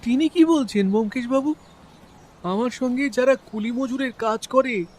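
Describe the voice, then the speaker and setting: A man's voice: extremely high pitch, a little mellow, heavily acted. A man playing an earnest, defensive labour contractor explaining himself in a dramatized audio detective story. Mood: worried, defensive.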